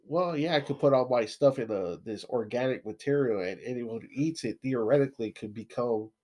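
A man with a stuffy nose co-hosting a podcast, recapping plot in a relaxed way, full of "kinda" and "you know". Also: medium pitch, brisk. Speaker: podcast co-host. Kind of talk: casual chat.

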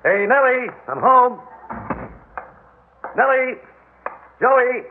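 A man's voice: very high-pitched, expressive, extremely slow, full character voice. An actor playing a middle-aged, devoted foster father in an old radio drama, emphatic and easily agitated. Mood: eager, searching. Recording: noisy, narrow-band old radio recording.

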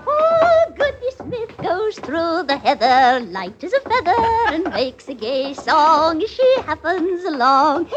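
A woman's voice: extremely high pitch, sing-song, slow, few pauses, muffled recording, over loud music, full character voice. A woman acting a conniving country wife in a classic radio drama, with archaic rustic phrasing. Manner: theatrical archaic rustic speech. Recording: noisy old radio recording.

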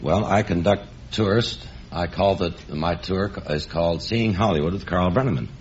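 A man's voice: deep voice, moderate pace, a little mellow. A man answering politely and a little hesitantly as a contestant on an old radio quiz show. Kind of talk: casual interview answers. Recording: muffled old radio recording, faint noise.

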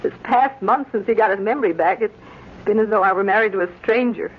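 A woman's voice: medium pitch, fast talker, slightly rough, close to an everyday voice. An American woman playing a devoted, wounded young wife in an old-time radio drama, tender and melodramatic in manner. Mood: hurt, plaintive. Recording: dull old radio recording, faint noise.

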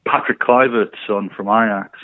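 A man's voice: fairly low pitch, steady tone, moderate pace, no echo. A man on a phone line, chatting casually about football as a podcast panellist. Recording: tinny narrow phone line.

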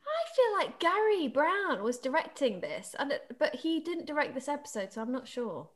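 A woman with a British accent co-hosting a casual podcast chat, chatty and quick to laugh. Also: high-pitched, very animated. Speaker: actor and podcast co-host.

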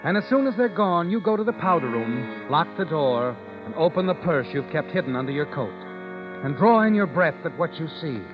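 A sly, insinuating mystery narrator from vintage radio. His voice is slightly high for a man, with clear music behind a muffled broadcast recording. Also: big pitch swings, moderate pace. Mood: sly, knowing. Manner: insinuating second-person address. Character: sly, knowing male mystery narrator, needling the heroine.

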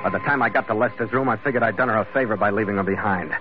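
A man plays a hard-boiled seafaring bodyguard in classic radio drama style. His voice is on the low side, clipped and matter-of-fact, with a wry edge. The old recording is dull, with music clearly behind him. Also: rapid-fire, close to an everyday voice. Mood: grim narration. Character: tough, wry Irish-American sailor hired as bodyguard, narrating. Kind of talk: 1940s-50s radio drama acting, hard-boiled narration.

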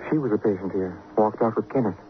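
Guy acting a calm wry doctor in old radio drama, dry n measured, thin phone-like sound. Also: fairly low pitch, soft background music, close to an everyday voice. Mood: grave, candid. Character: wry, unflappable male sanatorium doctor, protective of patients. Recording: tinny vintage radio with faint noise.

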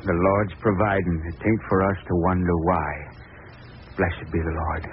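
A man's voice: low-pitched, fairly even intonation, normal speed, lightly acted. An actor playing a poor, pious, resigned country father in a 1940s radio drama. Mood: sad, resigned. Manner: plain rustic folksy talk. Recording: tinny, faintly noisy old radio recording.

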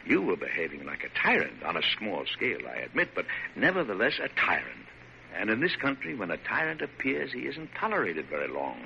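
An actor playing a dignified, kindly but firm older American President, speaking formally and with measure in a classic radio drama. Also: male voice, lowish voice, huge pitch swings, creaky, sharp tone, heavily acted. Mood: frankly admonishing. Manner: formal, measured, hesitant at times. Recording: noisy, dull vintage radio recording.

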